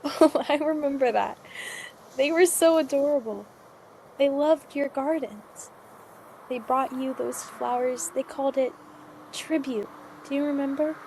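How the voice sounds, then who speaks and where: Woman acting a frail, high-voiced, despairing girl. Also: a little mellow, slight background noise, poor audio. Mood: wistful. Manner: halting, faltering, plaintive speech. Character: exhausted, frightened girl crossing a desert, despairing and fragile. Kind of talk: cinematic audio drama acting.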